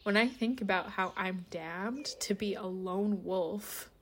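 A woman's voice: medium pitch, slow, fairly bright tone, slight background noise. A woman playing a despairing, short-tempered lone-wolf werewolf in an audio drama, weary and wry, sometimes dropping to near-whispers. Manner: sardonic, swearing, wry laughs. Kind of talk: naturalistic audio drama acting.

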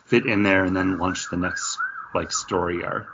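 A man's voice: sits low, fairly even intonation, normal speed, background noise. A man co-hosting a casual comic book review, hesitant as he thinks aloud. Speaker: co-host of a comics review show. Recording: dull lo-fi audio.

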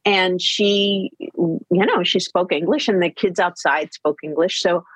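A middle-aged woman, an author and podcast co-host, talking casually and telling stories in a lively way. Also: fairly low pitch, unhurried, no echo, poor audio. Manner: lively storyteller, laughs easily.

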